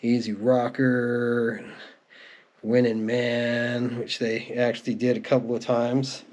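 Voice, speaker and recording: Clear background noise sits under the voice of a middle-aged man. He talks at an average male pitch in an unscripted, conversational way. It is a casual show-and-tell, full of easy reminiscing. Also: flat delivery, slow pace, a little mellow. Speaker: music collector presenting their collection. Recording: clearly noisy home recording with clicks.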